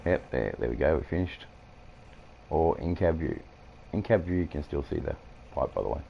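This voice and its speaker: A man with an Australian accent, croaky from coughing, rambling through farming-game commentary with many halting fillers. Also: extremely low pitch, lively intonation, highs cut off, slight background noise. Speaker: gameplay streamer.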